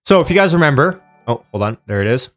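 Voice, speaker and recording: A man's voice: medium pitch, big pitch swings, fast talker, soft background music. Male streamer, mid-range voice, casual and chatty, talking straight to the audience with off-the-cuff commentary. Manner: informal, chatty, addresses viewers by name. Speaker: live stream host. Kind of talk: casual live stream chat and stock options commentary.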